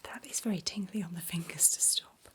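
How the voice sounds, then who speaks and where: A middle-aged woman whispering breathily in a gentle, reassuring ASMR medical exam roleplay, playing a doctor giving close personal attention. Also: lowish voice, a little fast.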